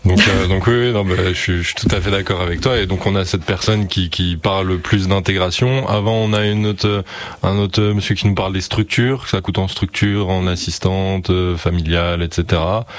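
A man hosting a talk show discussion, calmly summing up and passing the word on. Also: sits low, moderate pace. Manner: calm, sums up and hands over. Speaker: host.

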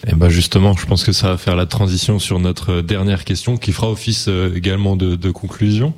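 A man hosting a panel discussion, leading smoothly into the next question. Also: low-pitched, steady tone, brisk, darkish tone. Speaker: host. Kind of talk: moderating a discussion.

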